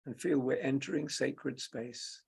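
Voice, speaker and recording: Male meditation teacher, very creaky and husky voice, leisurely delivery in short phrases with generous pauses. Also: medium pitch. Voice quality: gravelly, husky. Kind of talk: guided meditation, spoken slowly and softly.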